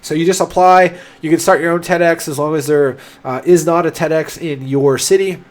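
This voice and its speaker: A man's voice: on the high side, fairly even intonation, moderate pace. A young man hosting a casual livestream, answering chat questions with a stuffed-up nose and frequent restarts. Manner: enthusiastic, explanatory, frequent restarts.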